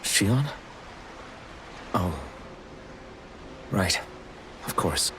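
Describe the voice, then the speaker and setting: An actor playing a troubled, earnest young warrior in an anime-style video game, speaking haltingly and subdued. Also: male voice, low voice, lively intonation, fairly bright tone, a little hiss or hum, full character voice, rough recording. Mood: dazed, distracted. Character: troubled, earnest young male warrior haunted by his past.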